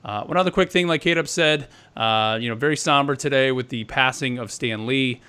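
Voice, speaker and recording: A man's voice: medium pitch, fairly quick, pushed and bright, smooth. A man hosting a live gaming podcast, talking in an upbeat, chatty way. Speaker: podcast host.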